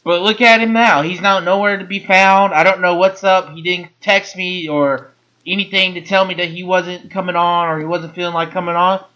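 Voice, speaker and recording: High-voiced New man hosting a podcast. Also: steady tone, normal speed, bright, forceful tone, poor audio. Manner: loose, rambling, repeats words. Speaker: podcast host. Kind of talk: casual sports talk.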